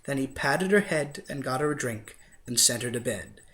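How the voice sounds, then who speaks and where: A man's voice: medium pitch, moderate pace. A man reading a rhyming storybook as a dramatic, theatrical narrator. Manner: theatrical, expressive. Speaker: storybook reader.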